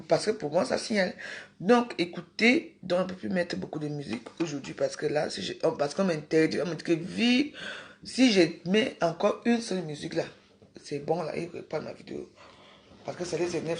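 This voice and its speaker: A woman's voice: deep voice, vocal fry. A woman hosting a casual livestream, talking emphatically to her viewers, repeating herself and scolding them. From Cameroon. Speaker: livestream host.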